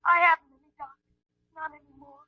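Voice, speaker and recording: A very high, breathless female voice comes through a thin telephone line. It is a frightened wife in an old radio melodrama. She pleads urgently and anxiously. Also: flat delivery, brisk, no room sound. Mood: terrified, pleading. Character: frightened, pleading wife and former concert pianist.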